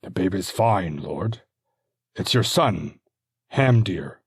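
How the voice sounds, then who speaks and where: A man's voice: deep voice, animated, slow, slightly rough, dry recording, studio quality, exaggerated voice. A man voicing a nervous male messenger soldier who speaks hesitantly and apologetically. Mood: deferential.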